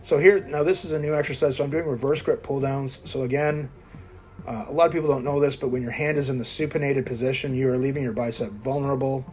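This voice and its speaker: A man in his fifties talks viewers through his gym routine between sets, blunt and self-mocking as he coaches. His voice sits at an ordinary middle pitch for a man, and faint music plays behind him in the gym. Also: steady tone, fast talker, a little mellow. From Quebec, Canada. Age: 50s. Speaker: fitness vlogger. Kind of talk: casual workout commentary. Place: indoor gym.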